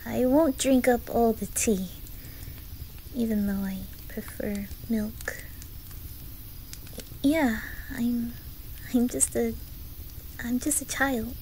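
A woman voicing a shy, curious nine-year-old boy in an ASMR roleplay, with an attempted Swedish accent and a soft, often whispered, hesitant delivery. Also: a little high, a little hiss or hum, poor audio. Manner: hesitant, stammering, slow.

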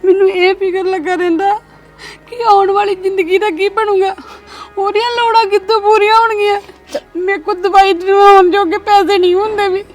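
A tearful, despairing young wife in a village drama, sobbing through her words, acted by a woman. Her voice sits high, recorded from a distance with faint music underneath. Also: fairly even intonation, normal speed, a little mellow, lightly acted. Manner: sobbing, despairing, low subdued delivery. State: crying. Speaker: actor. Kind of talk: scripted drama acting.

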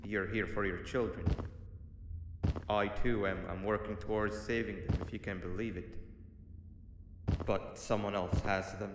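A man acts out a scheming monster mascot from a video game. The voice is low and conversational, hesitant yet coaxing. It rings through a long echoing reverb with clear noise behind it. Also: close to an everyday voice. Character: shifty, apologetic red cartoon devil mascot, scheming male monster. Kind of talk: video game character acting. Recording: very echoey hall reverb, loud noise.

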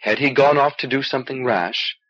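A man with a husky voice narrating a fantasy novel in an expressive, measured storytelling delivery, on an old cassette transfer. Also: mid-range voice, lo-fi sound. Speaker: audiobook reader.

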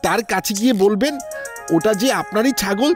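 A clever, fair-minded village boy from a cartoon, voiced by an actor. He sounds confident and instructive, in a medium-pitched voice. Music plays behind him. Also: brisk, close to an everyday voice. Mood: confident, scheming. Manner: assured, instructive. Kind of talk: cartoon dialogue.

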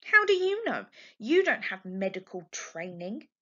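A woman's voice: medium pitch, sing-song, measured pace, bright, forceful tone, exaggerated voice. A woman voicing a stubborn, petulant young girl in a storybook, speaking emphatically. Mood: indignant. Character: stroppy, stubborn young girl arguing with her mother. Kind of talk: storybook character voice. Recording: dull, lo-fi home recording.

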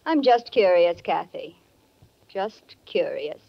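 An actress playing a jealous, scheming woman in 1940s radio drama, genteel and hesitant, with measured delivery. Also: lowish voice, lively intonation, creaky, full character voice. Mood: cool, sly. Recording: muffled 1940s radio recording.

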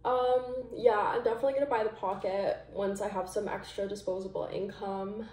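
A woman's voice: medium pitch, steady tone, a little fast, darkish tone. A young woman from Canada chatting casually through a product review, rambling with many likes and ums, recorded on a camera a few feet away in a small plain room. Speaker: vlogger. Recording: roomy, distant camera sound.

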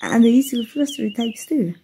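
A woman's voice: a little high, animated, fairly quick, creaky, dark tone, husky, exaggerated voice. A woman voice actor voicing a playful, flustered young fairy in an ASMR roleplay, giggling and stammering shyly.

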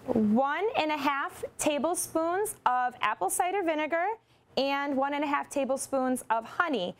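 A woman's voice: sits high, a little hiss or hum. A young woman presenting a cooking demonstration in a large studio kitchen, explaining each step steadily with a few ums. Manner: instructive, steady, with occasional ums. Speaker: nutrition segment presenter.

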